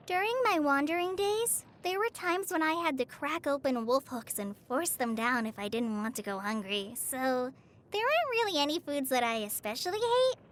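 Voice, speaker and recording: A woman's voice: sits high, a bit bright, full character voice. An actress playing a shy, earnest teenage girl forest ranger trainee in a video game, hesitant and easily flustered. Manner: hesitant, stammering, apologetic. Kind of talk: video game character voice lines. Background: background music.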